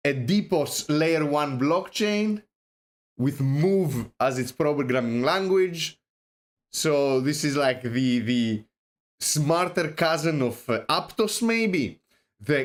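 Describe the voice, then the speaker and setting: A man with a Greek accent hosting a casual crypto livestream, rambling with many fillers and restarts, joking now and then. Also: a little high. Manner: joking, self-deprecating. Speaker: host.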